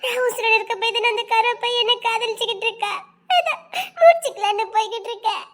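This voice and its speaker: A distraught teenage village girl in a cartoon melodrama. The voice is extremely high, almost childlike, and plaintive. She speaks emotionally, with a southern Tamil Nadu accent. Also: fast talker, fairly bright tone. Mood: despairing, tearful. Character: distraught seventeen-year-old South Indian village girl, dramatic.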